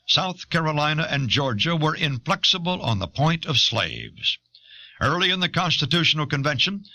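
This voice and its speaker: A male narrator with a very sharp, forceful voice reads scripted documentary material. His delivery is formal and measured, with a lively rise and fall in pitch, captured on an old audio cassette.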